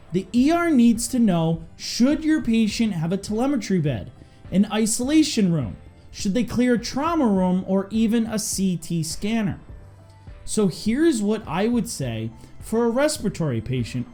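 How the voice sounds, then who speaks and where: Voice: mid-range voice, warm tone. An EMS instructor hosting a scripted lesson, speaking in an emphatic, direct way to fellow providers. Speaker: EMS instructor and video host.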